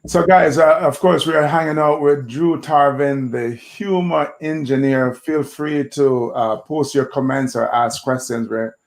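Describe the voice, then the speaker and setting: Gravelly man hosting with playful hype. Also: fairly high pitch, steady tone, unhurried, few pauses. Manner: playful, hypey asides. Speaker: show host. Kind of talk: live show interview.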